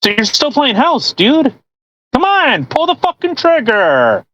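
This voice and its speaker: A podcast co-host reading a post aloud with casual, mocking commentary, sarcastic and quick to swear and interject. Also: high voice, huge pitch swings, fairly bright tone, no echo, lo-fi sound.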